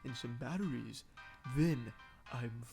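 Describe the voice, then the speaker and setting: An actor playing an excitable, eccentric junkyard man in a video game, speaking in a halting, hesitant way. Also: mid-range voice, vocal fry, loud music behind the voice. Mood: bargaining. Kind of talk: video game character acting.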